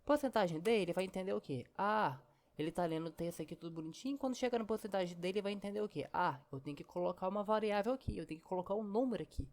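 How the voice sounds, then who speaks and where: A woman's voice: medium pitch, very fast, a little mellow. A woman teaching programming in a casual screen-recorded lesson, chatty and step by step, often saying "ó" and "né".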